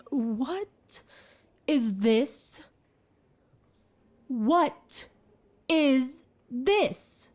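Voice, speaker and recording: A woman playing a cruel, seductive empress in a dramatic ASMR roleplay, with haughty, theatrical, deliberate phrasing. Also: high-pitched, no echo, full character voice. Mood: menacing intrigue. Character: cruel imperious empress, seductive, menacing tyrant.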